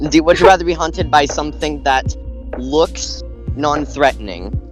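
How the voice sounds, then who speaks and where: A man's voice: fairly high pitch, measured pace, faint music behind. A man chatting casually as a podcast host, posing questions to a group and often repeating his phrases. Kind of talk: casual group chat, posing would-you-rather questions.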